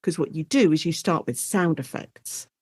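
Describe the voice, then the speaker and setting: A woman speaking as an academic guest in an informal interview, explaining things in an animated way and acting out short quotes. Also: low-pitched, normal speed, breathy edge, no room sound, studio quality. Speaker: guest academic, Shakespeare and radio drama specialist.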